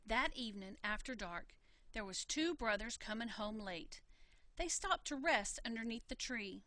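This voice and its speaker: A woman reading a folksy tale in an animated storytelling style, full of country dialect. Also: on the high side, unhurried, no echo. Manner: folksy, dialect-laden telling. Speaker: storybook reader.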